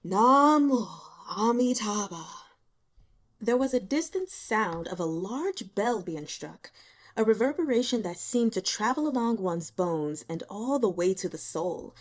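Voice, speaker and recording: Expressive woman narrator with animated pitch. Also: a little slow. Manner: expressive, dramatic. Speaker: audiobook reader. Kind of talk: dramatic scripted story narration.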